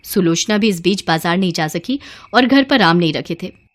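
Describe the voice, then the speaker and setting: A woman's voice: lowish voice, animated, moderate pace, a little hiss or hum. A woman narrating a scripted moral tale as a storyteller.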